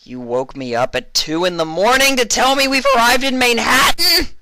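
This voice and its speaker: Man as young female cartoon pony DJ, high, sharp, grumbly, excitable, storybook reading. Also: normal speed, no echo, heavily acted. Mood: outraged screech. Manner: grumbling, screeching when riled.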